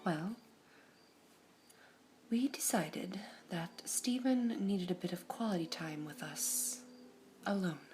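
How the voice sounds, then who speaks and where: A woman voicing a serene all-seeing female gem in an ASMR roleplay, whispering softly in a measured, formal way. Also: lowish voice, lively intonation, faint music behind. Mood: calm whispering.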